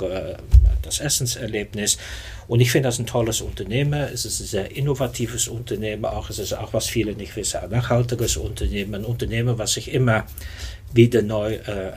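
Dutch-accented man in his fifties, husky. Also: lowish voice. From Netherlands. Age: 50s. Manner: reflective, searches for words with many fillers. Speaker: podcast guest. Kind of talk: casual interview talk.

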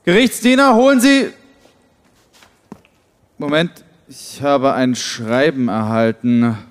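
A man playing a judge who speaks in formal, measured pronouncements. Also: medium pitch, clean tone, lightly acted. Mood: stern.

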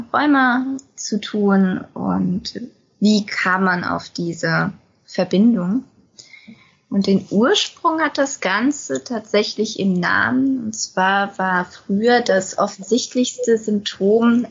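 A young woman hosts a conversational podcast. Her medium-pitched voice is husky, and the sound is dull and muffled. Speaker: podcast host, self-help group member.

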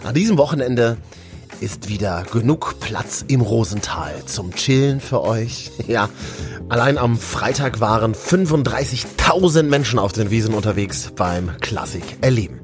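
A man's voice: fairly low pitch, lively intonation, measured pace, faint music behind. A man from Berlin hosting a local news show in a cheerful, casual way, full of jokey asides. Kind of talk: casual upbeat news chat.

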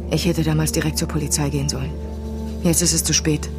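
An actress playing a middle-aged woman in a naturalistic audio drama, determined and quietly vengeful, speaking in a cool, controlled way. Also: low-pitched, little pitch movement, fast, music in the background, close to an everyday voice. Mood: cold, resolute.

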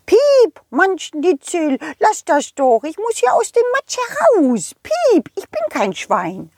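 A woman voicing a small, excitable male bird who peeps and stammers in a children's audio play. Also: extremely high pitch, slow, no room sound, clean studio sound, voice pushed up, exaggerated voice. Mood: annoyed, straining. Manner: chirpy, interjects peeps and stammers.

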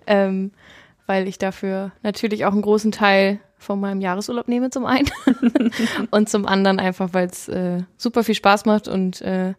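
A woman's voice: medium pitch, a little mellow, dry recording, studio quality. A woman of twenty-three from northern Germany, chatting casually with many fillers. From Friesland, northern Germany. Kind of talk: casual chat.

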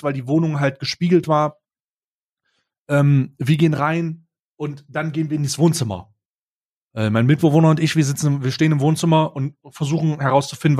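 Animated young man spinning a yarn. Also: on the high side. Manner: animated, slangy, frequent restarts. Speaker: podcast co-host. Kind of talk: casual storytelling.

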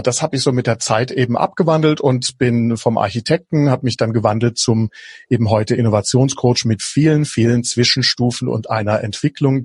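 Husky German man, hesitant interview guest. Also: medium pitch, darkish tone, dry recording, studio quality. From southwest Germany. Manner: hesitant, many fillers and restarts. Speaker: guest, innovation coach. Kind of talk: podcast interview.

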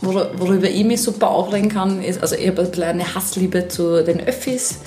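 A woman's voice: on the low side, brisk, echoey room, faint music behind. A woman in her thirties from Upper Styria with a Styrian Austrian accent, chatting casually as a podcast guest with many fillers. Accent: Styrian Austrian German. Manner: chatty, animated, many fillers, laughs easily. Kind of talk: casual chat.

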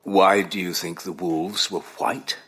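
An actor playing a composed, courteous professor and analyst in an audio drama, formal and probing. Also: male voice, deep voice, fairly even intonation, a little slow, a bit bright, husky, exaggerated voice. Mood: calm, probing.

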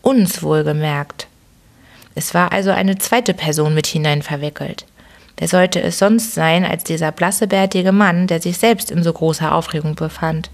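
A woman voicing a calm, incisive detective who reasons in a measured, dryly ironic way in an audiobook. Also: lowish voice. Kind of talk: audiobook character reading.

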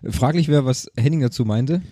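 His voice is very dark and relaxed, recorded dry with hardly any room around it. He is a man. He talks like a podcast co-host in loose, colloquial, joking conversation. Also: medium pitch, moderate pace, no room sound. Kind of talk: casual unscripted podcast chat.

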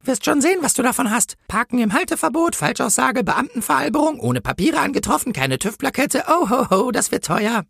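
A man's voice: very high-pitched, big pitch swings, fairly quick, vocal fry, hardly any pauses, fairly bright tone, slightly rough, no echo, clean studio sound, voice pushed up, full character voice. A man voicing a bullying, officious female traffic warden in a comic Christmas story, with a scolding, sneering manner.

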